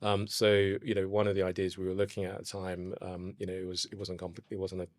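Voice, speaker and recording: A man's voice: deep voice, monotone, fast, no room sound. A Ghana-born man, talking as a brand co-founder in a reflective podcast conversation. He is hesitant, with many fillers and frequent "you know"s.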